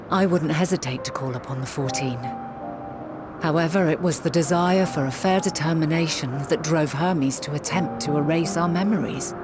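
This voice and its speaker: Low-voiced, solemn woman leader. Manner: measured, solemn, formal archaic diction. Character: wise, resolute ancient woman leader, grave yet hopeful. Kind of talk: dramatic scripted game acting.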